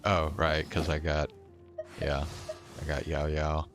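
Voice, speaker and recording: A man giving casual, low-key live commentary as he plays a game, muttering to himself. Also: monotone, slow pace. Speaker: game streamer. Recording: music behind the voice.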